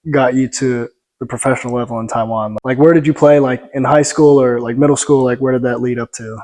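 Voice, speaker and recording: A man's voice: medium pitch, steady tone, brisk, mellow. A young man hosting a casual interview for his channel, easygoing, leaning on "you know" and "like" as he asks questions. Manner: easygoing, heavy use of "you know" and "like", frequent back-channel. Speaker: host, YouTuber and basketball player.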